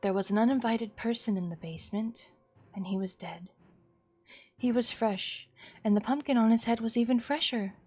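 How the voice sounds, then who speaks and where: A woman's voice: a little high, normal speed, a little mellow, breathy edge. A woman streamer reading a horror visual novel aloud as a dramatic narrator.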